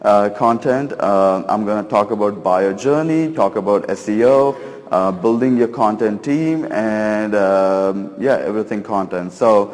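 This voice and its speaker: Middle-aged male keynote speaker, lowish voice, chatty and self-mocking, reverberant hall. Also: unhurried, a little mellow. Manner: chatty, self-deprecating, many fillers. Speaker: conference speaker. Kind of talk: casual keynote talk. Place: conference stage in a large hall.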